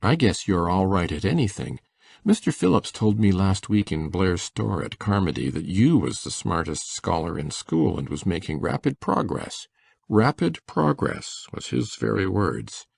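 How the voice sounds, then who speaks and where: Man acting a gravelly, very deep old farmer. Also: sing-song, measured pace, a little mellow, dry recording, studio quality, exaggerated voice. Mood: soothing. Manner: hesitant, folksy rural speech. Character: shy, slow-spoken, kindly older farmer. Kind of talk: full-cast audiobook dramatization.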